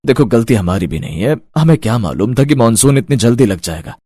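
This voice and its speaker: An actor playing a weary, resigned village family man, husky and placating, in a cartoon story. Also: medium pitch, sing-song, fairly quick, mellow, dry recording, studio quality. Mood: calming. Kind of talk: cartoon moral story dialogue.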